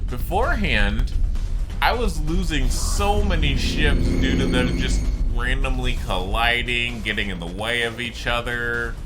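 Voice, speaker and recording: A man giving casual, rambling, explanatory commentary on a video game. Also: mid-range voice. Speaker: gaming video host.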